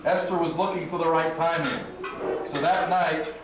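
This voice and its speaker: A man's voice: on the high side, steady tone, moderate pace, over loud music. A man narrating a puppet show as scripted storytelling, in a declamatory, folksy way. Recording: very echoey, distant, dull, noisy live recording.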